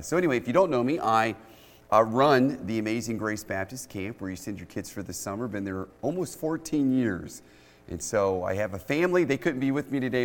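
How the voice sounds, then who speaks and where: A man's voice: medium pitch, fairly bright tone. A middle-aged man with a big, bold voice, preaching a sermon to a church congregation as a guest speaker in emphatic, repeated short phrases. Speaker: guest preacher, youth camp director. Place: indoors in a church, speaking into a microphone. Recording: reverberant church hall, long reverb.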